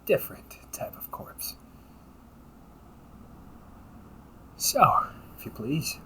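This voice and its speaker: A man playing a wry, politely smug demon-hunting officer in an audio roleplay, speaking in a courteous, measured, teasing way with breathy asides. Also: medium pitch, fairly bright tone, a little hiss or hum, poor audio. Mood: smug, sarcastic. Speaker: amateur voice actor. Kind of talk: audio roleplay, one-sided dialogue addressed to the listener.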